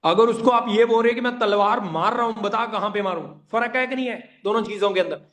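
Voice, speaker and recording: A man with a slightly high voice teaches an online meeting informally. He speaks emphatically, repeats key points and puts questions straight to his listeners. English words are mixed into his speech. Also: a little fast, a bit bright. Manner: emphatic and repetitive, addressing listeners directly with rhetorical questions. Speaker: online network-marketing trainer.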